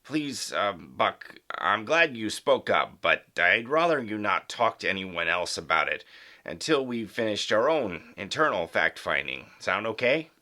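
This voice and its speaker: A man playing a measured, evasive school principal in an audio drama, speaking in a formal, guarded way. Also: fairly low pitch, sing-song, pushed and bright, exaggerated voice.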